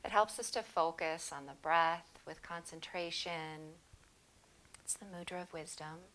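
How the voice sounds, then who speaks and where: Calm woman yoga teacher, kinda low voice, takes it real slow, soothing. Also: a little hiss or hum, poor audio. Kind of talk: guided yoga breathing instruction.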